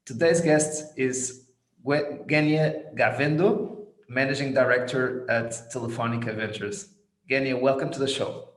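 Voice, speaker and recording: A man's voice: mid-range voice, fairly even intonation, measured pace. A man hosting a business podcast, introducing, explaining and putting questions to a guest. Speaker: podcast host. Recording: roomy, echoing sound.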